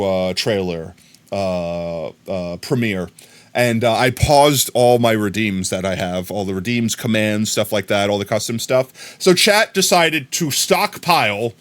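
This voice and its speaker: An American man chatting casually on a live stream, rambling and bursting into excited shouts of thanks to viewers. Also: medium pitch. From United States. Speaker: livestreamer.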